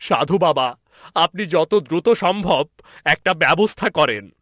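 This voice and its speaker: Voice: medium pitch, animated, unhurried, fairly bright tone, no echo, clean studio sound, pitched above the performer's own voice. A man playing an anxious, deferential royal minister in a fairy-tale cartoon. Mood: anxious, imploring.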